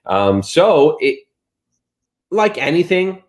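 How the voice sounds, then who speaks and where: A man in his thirties in casual chat, telling stories with lots of fillers and lively swings in his voice. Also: a little high, takes it slowly. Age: 30s. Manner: animated storyteller, many fillers.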